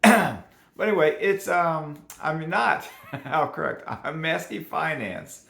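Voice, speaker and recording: A man with a rough, hoarse voice today, chatting casually and rambling about personal finance at home. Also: fairly high pitch, expressive, slow pace, fairly bright tone, roomy sound. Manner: rambling, chatty, laughs at himself. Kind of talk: casual unscripted talk to camera.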